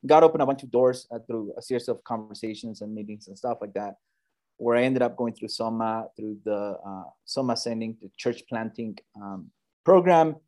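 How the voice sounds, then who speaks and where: A man in his thirties talks through his story as an interview guest. He speaks earnestly and conversationally, often pausing with fillers and restarting phrases mid-thought. His pitch sits in an ordinary middle range. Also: darkish tone. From United States, Bay Area. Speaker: church planter, guest.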